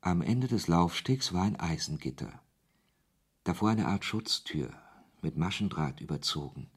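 A man's voice: sits low, lively intonation, a little slow, exaggerated voice. A man reading scripted literary narration as the narrator of a radio play. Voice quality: husky.

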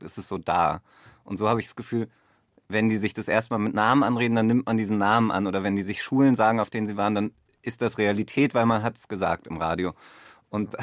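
A man's voice: lowish voice, no room sound, clean studio sound. A man speaking as a director, explaining his view casually in an interview. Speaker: theatre and radio drama director.

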